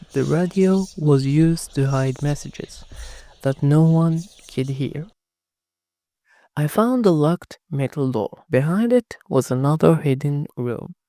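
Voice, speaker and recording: A narrator telling a first-person horror story in a hushed, dramatic scripted reading. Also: medium pitch, no echo, clean studio sound.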